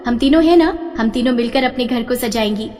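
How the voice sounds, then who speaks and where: A woman voicing cheerful little sisters in a cartoon story, excited and chirpy. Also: a little high, brisk, close to an everyday voice. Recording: reverberant with soft background music.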